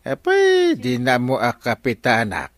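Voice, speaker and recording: Blustering Ilocano village captain, wildly animated. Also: male voice, mid-range voice, measured pace. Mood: boastful, bossy. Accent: Filipino, Ilocano. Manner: blustering, self-important, hesitating with frequent "eh". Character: boastful, hot-headed, comical village captain. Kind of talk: comedic radio drama acting.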